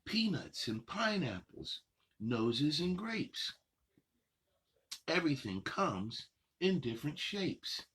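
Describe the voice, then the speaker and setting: Husky man reading slowly to kids. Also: fairly high pitch, big pitch swings, fairly bright tone. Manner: drawn-out, playful phrasing addressed to kids. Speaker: host reading children's picture books aloud. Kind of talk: children's story time read-aloud with chatty asides.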